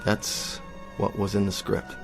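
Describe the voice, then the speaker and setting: An actor playing a vain TV cowboy star who is easily cowed, with an American accent, in a radio drama. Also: male voice, lowish voice, completely flat delivery, measured pace, vocal fry, music in the background. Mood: puzzled, peevish.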